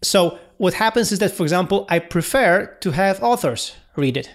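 Man with a Polish accent, halting speech. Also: high voice, animated. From Poland. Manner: halting, searching for words, many restarts. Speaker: podcast co-host. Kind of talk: casual chat.

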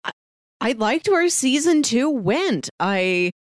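A woman co-hosts a review podcast in casual conversation. Her voice is a little high and moves around animatedly in pitch. The sound is dry and close, with very little room in it. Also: very animated, slow, dry recording. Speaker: podcast co-host.

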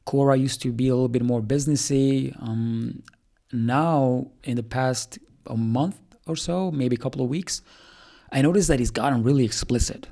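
A man talks through a casual update in a rambling way, with plenty of ums and restarts. His voice is low in brightness, mellow and dark, with a gravelly fry running through it. He sounds like a startup founder thinking out loud. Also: normal speed. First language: Albanian. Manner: rambling, with many fillers and restarts.

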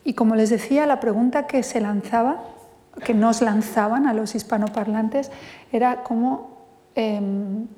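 A woman from Spain with a Spanish accent, giving a thoughtful, measured talk as a poet and lingering on her words. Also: on the high side, dark tone. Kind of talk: reflective lecture with poetry reading. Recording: long reverb from a hall.

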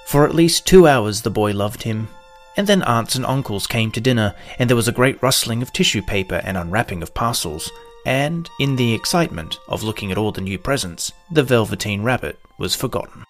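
A man reading a children's story as narrator, in a measured, expressive storytelling manner. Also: mid-range voice. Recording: soft guitar music behind the voice.

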